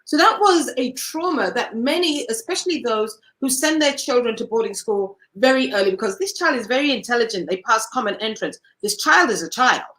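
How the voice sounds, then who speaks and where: A woman's voice: a little high, lively intonation, a little fast, few pauses, a bit bright, rough recording. A woman from Cameroon, an interview guest telling her story in an earnest, reflective way.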